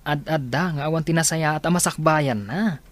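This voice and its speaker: A man's voice: on the high side, moderate pace, no room sound, lightly acted. A man playing an earnest, hesitant young male student and suitor in a radio drama. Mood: concerned.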